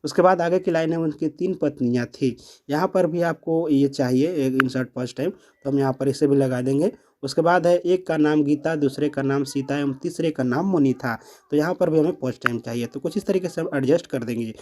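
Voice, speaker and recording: Fast-talking man giving an app tutorial. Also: a little high, a little mellow, slight background noise. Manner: explanatory, repeats phrases step by step. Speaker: tutorial presenter. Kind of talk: step-by-step app tutorial voice-over.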